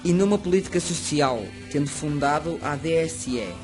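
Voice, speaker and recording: A man reading a school history presentation aloud, steady and prepared. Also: fairly high pitch, normal speed. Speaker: student narrator. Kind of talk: school project narration read aloud. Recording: music playing under the voice. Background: music.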